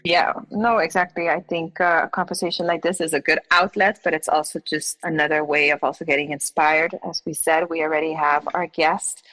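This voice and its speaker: A woman co-hosting a podcast, chatting in a playful, somewhat halting way. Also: low-pitched, fairly even intonation, a bit bright, low-quality recording. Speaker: podcast co-host. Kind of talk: casual podcast chat.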